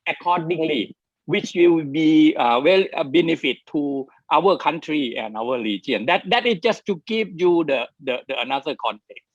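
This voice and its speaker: A man speaking on an online panel, halting, with many restarts and repeated words. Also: a little high, no echo. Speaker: webinar speaker. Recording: dry, dull lo-fi call audio.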